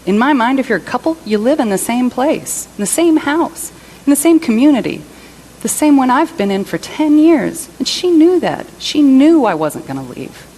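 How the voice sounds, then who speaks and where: A woman's voice: high-pitched, sing-song, moderate pace, warm tone, slight background noise, poor audio. A woman performing a dramatic monologue as a hurt, self-pitying jilted partner, indignant and emphatic. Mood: hurt indignation. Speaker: reader in a church service skit.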